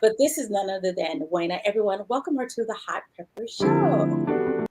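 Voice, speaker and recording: A woman's voice: mid-range voice, big pitch swings, moderate pace, darkish tone, soft background music. A woman hosting a casual livestream interview over a video call, chatty and rambling as she reads out viewer comments. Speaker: show host.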